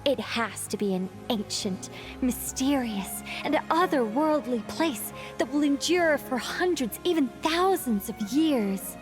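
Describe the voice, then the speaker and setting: A woman's voice: high voice, expressive, a little slow, music in the background. A woman voicing a whimsical, self-important female mage in a video game, theatrical, musing and grandly commanding. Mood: pensive, self-absorbed.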